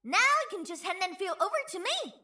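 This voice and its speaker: A woman's voice: extremely high pitch, very animated, fairly quick, bright, forceful tone, exaggerated voice. A woman voicing a playful, greedy young female chief in a game, cheeky and teasing. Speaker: voice actor. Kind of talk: game character voice lines.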